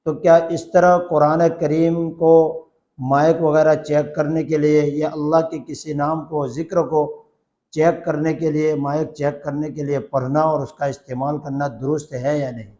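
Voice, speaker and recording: Higher-pitched man giving a religious lesson. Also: little pitch movement, normal speed, dark tone. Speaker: Islamic cleric answering a religious question. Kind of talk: religious lesson, formal explanatory address.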